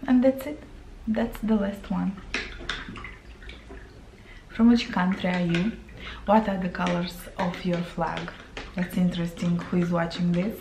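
A woman in her thirties from Moldova, speaking English with a Romanian accent, chatting to camera while painting her face, often pausing for words. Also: on the low side, fairly even intonation, vocal fry, reverberant, noisy background. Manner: chatty, searching for words, with fillers. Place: small room indoors.

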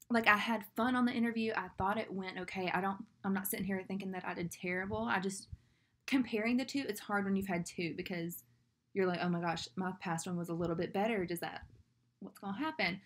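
A woman's voice: medium pitch, steady tone, fairly quick. A young woman with a Southern drawl chats to her webcam, rambling along with plenty of "like"s. She is at home in a small indoor room, recorded through a laptop camera. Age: young adult. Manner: chatty, rambling, fillers like "like". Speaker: vlogger. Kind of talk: casual talk to camera.

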